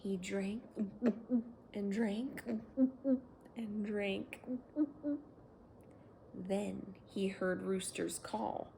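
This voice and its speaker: A woman hosting a children's read-aloud, telling a bedtime story in a gentle, expressive way. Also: medium pitch, very slow, gravelly, pauses often, a little hiss or hum. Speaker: children's story reader and host.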